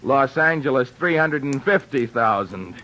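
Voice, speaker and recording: An actor playing a slick, fast-talking male publisher, brash and glib, in old-time radio acting. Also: medium pitch, expressive, bright, forceful tone. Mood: scheming. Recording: old radio recording with faint music.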